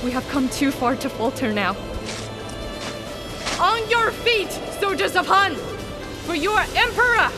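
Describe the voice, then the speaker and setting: Woman acting high-voiced, commanding army general. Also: very animated, unhurried, pauses often, music in the background, heavily acted. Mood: rousing, defiant. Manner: commanding, declamatory. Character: steely, unyielding female army general rallying soldiers. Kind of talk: audio drama acting.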